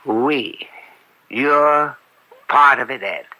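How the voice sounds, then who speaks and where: An actor playing an older judge in an old-time radio drama, upright and weary, speaking deliberately with a gravelly, dryly sardonic edge. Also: male voice, mid-range voice, bright, forceful tone, no echo, heavily acted. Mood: skeptical. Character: upright, weary veteran judge and father, proud and stubborn.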